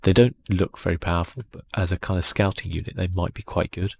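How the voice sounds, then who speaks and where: A man hosting a relaxed ASMR video game playthrough, speaking softly and musing aloud as he goes. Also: sits low, fast, creaky, breathy edge. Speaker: gaming channel host.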